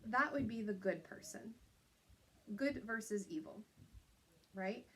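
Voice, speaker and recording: A woman's voice: mid-range voice, animated, slow pace, a bit bright, slightly rough, poor audio. A woman teacher giving an instructional lesson to young pupils, explaining step by step and checking in with little tag questions.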